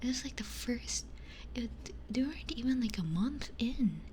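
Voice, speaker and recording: A woman streamer chatting casually in a breathy ASMR whisper into a close binaural microphone, telling stories in a candid, gossipy way. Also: a little high, expressive, moderate pace, a little mellow, slight background noise. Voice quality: breathy, mostly unvoiced whisper.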